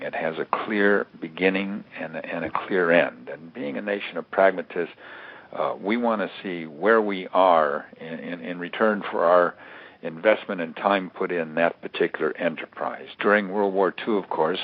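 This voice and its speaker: An older American man giving considered, analytical interview answers in a halting, deliberate way, repeating words for emphasis. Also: low voice. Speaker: interview guest, author and security analyst. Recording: narrow tinny phone line.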